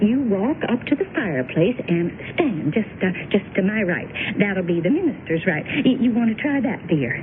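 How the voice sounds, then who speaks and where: A woman's voice: very animated, moderate pace, few pauses, lightly acted. An American actress playing a chatty, motherly older neighbour in an old-time radio drama, folksy and fussing. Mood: helpful, organising. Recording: noisy lo-fi radio broadcast with rumble.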